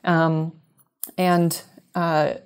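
A woman in her thirties with a low-pitched voice talks through technical material as an interview guest. She explains thoughtfully and qualifies her statements as she goes, speaking over a video call on an earbud mic. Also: little pitch movement, extremely slow, clear, resonant tone. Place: small room indoors, video call. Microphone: wired earbuds headset on video call.

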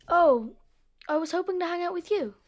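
A woman's voice: very high voice, animated, moderate pace. An actress playing a wistful, lonely schoolgirl who is eager to help, in a children's audio story. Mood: hopeful.

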